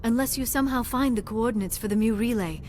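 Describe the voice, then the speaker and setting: Woman as scholarly alien researcher, high voice, measured and formal, earnest, game dialogue, loud music behind. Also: close to an everyday voice. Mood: explanatory, grave. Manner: measured, formal, avoids contractions.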